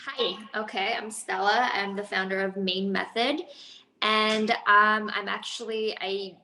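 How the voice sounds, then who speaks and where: Bright-voiced woman chatting hesitantly. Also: mid-range voice, steady tone, unhurried, reverberant, low-quality recording. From Los Angeles, USA. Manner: chatty, hesitant, many fillers and restarts. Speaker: business founder, guest. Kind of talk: casual interview chat.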